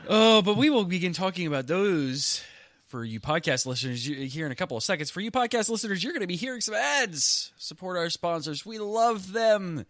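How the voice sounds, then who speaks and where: A man hosting a relaxed podcast with a head cold, joking between topics with a lively, swinging pitch. His voice is dry and close, without room sound. He laughs easily and talks in chatty asides. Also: a little fast, no room sound. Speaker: podcast host. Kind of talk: casual podcast chat.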